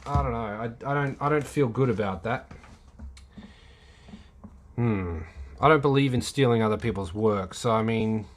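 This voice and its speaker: A man's voice: medium pitch, moderate pace, pauses often, slight background noise. A man hosting a casual livestream, rambling and answering chat comments, with many fillers and restarts. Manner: reads and replies to chat comments.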